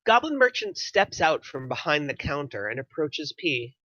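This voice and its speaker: A man's voice: on the high side, huge pitch swings, normal speed, sharp tone, no echo. A man reading stage directions as scripted narration at a table read, on a muffled microphone.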